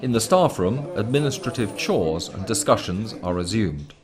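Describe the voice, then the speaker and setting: A man reading a scripted documentary commentary in a formal, measured way. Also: on the low side, lively intonation, over a noisy crowd. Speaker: narrator.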